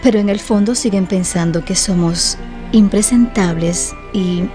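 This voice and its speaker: Mellow-voiced, ironic, proud woman played by an actress. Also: medium pitch, measured pace, faint music behind, close to an everyday voice. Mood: bitter irony. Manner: ironic, articulate. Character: witty, proud, frank woman novelist of modest means. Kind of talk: radio drama acting.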